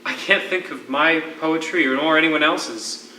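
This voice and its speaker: An actor playing a grieving, defeated imprisoned poet in a stage drama, speaking in deliberate, drawn-out phrases with dramatic pauses. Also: male voice, on the high side, pushed and bright. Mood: haunted grief. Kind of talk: theatrical stage acting, dramatic monologue. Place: theatre stage, miked from a distance. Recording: echoey, noisy stage recording from far off.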